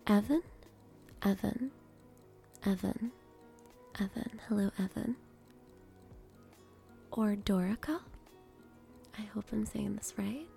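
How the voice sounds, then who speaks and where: A woman with a mellow, creaky voice performs ASMR over faint music. She speaks very slowly in short, gentle phrases, often dropping to a breathy whisper, with space left between each one. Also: mid-range voice. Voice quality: breathy whisper mixed with soft speech. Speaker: host greeting viewers by name. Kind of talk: ASMR name reading.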